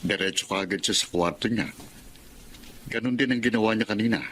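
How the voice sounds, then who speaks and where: A man's voice: on the low side, brisk, fairly bright tone, a little hiss or hum, lightly acted, poor audio. A man playing a stern, worried middle-aged father in a radio drama, earnest and questioning. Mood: concerned.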